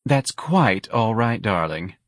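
A man's voice: fairly low pitch, big pitch swings, takes it slowly, a bit bright, no echo, clean studio sound, pitched above the performer's own voice, heavily acted. A man voicing a haughty, snappish young man in a bar. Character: snippy, elegant young man.

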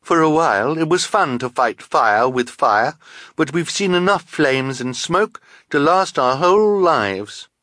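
A man's voice: a little high, animated, unhurried, fairly bright tone, no room sound, voice pushed up. A man voicing a weary, firm henchwoman in a storybook, speaking with resigned resolve. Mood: weary defiance.

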